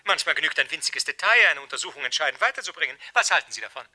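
Husky, sharp-voiced sly gentleman. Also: a little high, animated, very fast, heavily acted. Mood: slyly polite. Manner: insinuating, mock-courteous, hesitant when probing. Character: smooth, sly male blackmailer, falsely polite. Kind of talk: 1970s German radio play acting.

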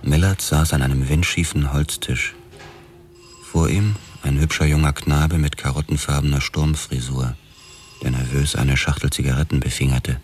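An actor in a radio drama narrating in the first person as a detective, in a brooding, measured hardboiled style. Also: male voice, very deep voice, steady tone.

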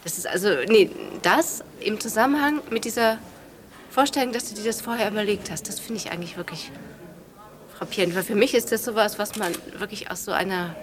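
A woman's voice: medium pitch, brisk, background noise, close to an everyday voice. An actress playing a probing, fascinated woman questioner who muses and rephrases informally in a naturalistic radio drama. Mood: probing, musing. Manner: musing, repeats and rephrases, informal.

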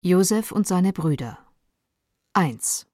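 Woman announcer for a radio play, scripted title read, mellow, husky timbre. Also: fairly low pitch, lively intonation, takes it slowly, dry recording, studio quality.

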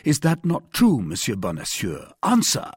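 A shrewd young musketeer, acted out by a male audiobook reader, bold and commanding. His pitch rides high and swings widely, with a slightly husky edge and a dry, close sound. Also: wildly swooping pitch, moderate pace, no room sound, exaggerated voice. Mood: bold, feigned compliance. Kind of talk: audiobook character acting.

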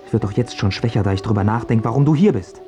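An actor playing an anxious, self-doubting failed singer in a radio drama, brooding and needy in manner. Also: male voice, on the low side, quick pace, lo-fi sound, music in the background, natural voice. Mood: anxious, fretful. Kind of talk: stage-like radio drama acting.

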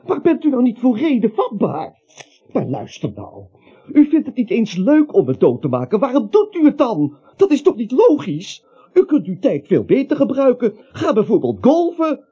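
Nervous high-pitched pleading victim, wildly swooping. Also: male voice, huge pitch swings, moderate pace, breathy edge, full character voice. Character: anxious, polite little man pleading with his killer. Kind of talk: absurd comic radio play acting. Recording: dull lo-fi radio recording.

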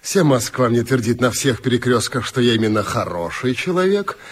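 Smug courtly official, husky, voiced by a man. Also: medium pitch, very animated, normal speed. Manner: pompous, oily.

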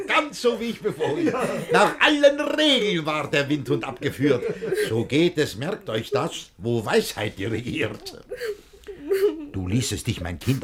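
An actor playing a domineering, scheming, jealous older guardian in a verse comedy radio play, speaking in a theatrical, lecturing way with a husky voice. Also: male voice, mid-range voice. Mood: gloating.

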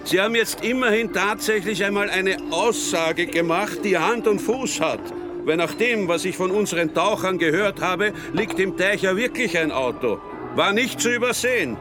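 An actor playing an exasperated police inspector in a radio drama, dryly sarcastic, with an Austrian accent. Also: male voice, high voice, expressive, normal speed, over music. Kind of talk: naturalistic radio drama dialogue.

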